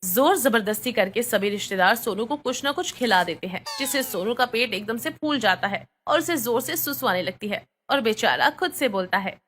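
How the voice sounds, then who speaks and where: High-voiced woman narrating, noisy background. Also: animated, normal speed, few pauses, a bit bright. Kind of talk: scripted bedtime story narration.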